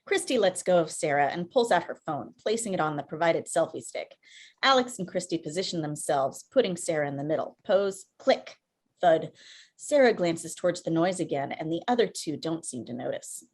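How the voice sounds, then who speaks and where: A woman's voice: sits low, expressive, normal speed, a little mellow. A woman reading scripted stage directions as narrator at a table read over video call.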